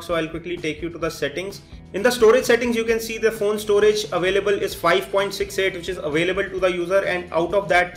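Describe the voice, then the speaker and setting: A man with a fairly high voice delivers a product review. He explains each point methodically as he demonstrates. Soft guitar music plays behind him. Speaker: tech reviewer, host. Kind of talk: phone review commentary over demonstration.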